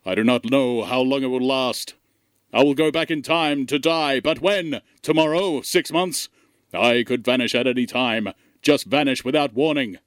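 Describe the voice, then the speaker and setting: A man's voice: mid-range voice, normal speed, dry recording, studio quality, close to an everyday voice. A man playing an ancient disembodied alien intelligence in a comedy audio drama, speaking in a formal, ponderous, melancholy way. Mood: mournful. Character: gloomy ancient disembodied male alien intelligence facing death.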